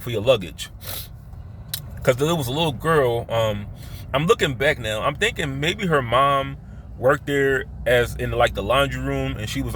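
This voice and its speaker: A man with a Philadelphia accent telling personal stories casually, halting and often circling back to repeat himself. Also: mid-range voice, fairly bright tone, slight background noise. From Philadelphia, United States. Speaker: vlogger telling his life story. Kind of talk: casual rambling personal storytelling.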